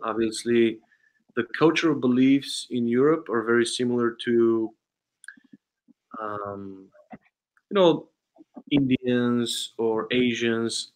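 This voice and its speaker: A man in his thirties from Bulgaria with a Bulgarian accent, a guest telling his story in a casual interview, earnest and often pausing to search for words. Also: mid-range voice, low-quality recording. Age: 30s. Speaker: guest, sales trainer and consultant.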